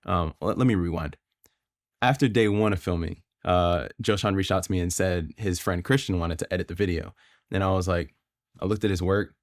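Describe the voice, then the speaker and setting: A young man from Florida, a guest videographer telling his story in a casual podcast chat, relaxed and filler-heavy. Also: low-pitched, brisk, dry recording, clean studio sound.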